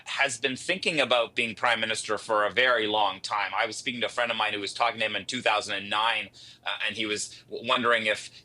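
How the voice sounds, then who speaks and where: A man giving conversational political commentary as a panelist, with a halting, hesitant delivery. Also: lowish voice, steady tone, pushed and bright, low-quality recording.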